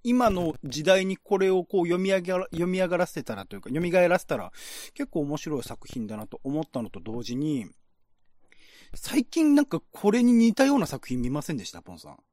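Male host, lightish pitch, highly animated, dry close sound, conversational explainer who checks in with listeners. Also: a little fast, no echo. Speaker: host and reader of a radio-drama reading show. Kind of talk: casual explanatory discussion.

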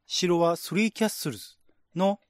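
A man's voice: sits high, normal speed, dry recording, studio quality. A man reading scripted narration in a radio-drama style, as the narrator of a screenplay.

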